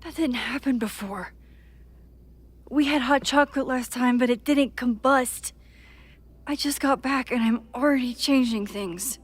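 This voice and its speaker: A woman's voice: sits high, a little slow, breathy edge. A woman acting an anxious, guilt-ridden young American woman in a video game, with a wry, self-doubting manner. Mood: wry, exasperated. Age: young adult. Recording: music under the voice. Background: game music.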